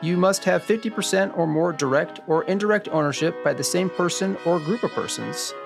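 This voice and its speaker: A man's voice: high voice, a little fast, gravelly, over music. A man playing an assured, orderly expert sleuth in a scripted radio drama, explaining in a didactic way. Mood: assured, instructive. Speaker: lead expert guiding the discussion.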